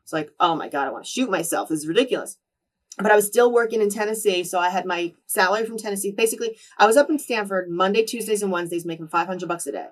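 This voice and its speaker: A woman in her thirties tells stories at a brisk clip, slipping into the voices of the people she quotes. Her voice sits at a middle pitch. The mic picks her up from a little way off. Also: recorded from a distance. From New Jersey. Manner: lively storyteller who acts out quoted dialogue. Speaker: interview guest. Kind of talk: casual storytelling in a sit-down interview.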